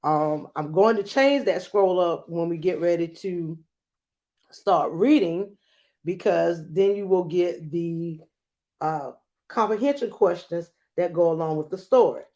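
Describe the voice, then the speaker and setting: A woman's voice: on the low side, measured pace, dark tone. A woman speaking as a teacher, explanatory and emphatic, giving a lesson and reading a storybook. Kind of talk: read-aloud lesson and storybook narration.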